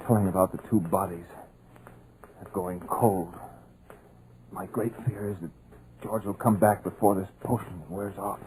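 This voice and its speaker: A paralyzed, terrified writer. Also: male voice, low voice, a little hiss or hum, poor audio. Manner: frantic then hushed, fearful narration. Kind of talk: 1940s radio acting. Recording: old radio recording with music.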